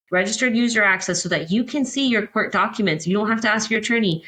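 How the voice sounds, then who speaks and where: American woman podcast guest, voice a bit on the low side, explains stuff in a chatty way. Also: fairly bright tone, low-quality recording. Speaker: podcast guest, court-watch advocate. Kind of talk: conversational explanatory interview talk.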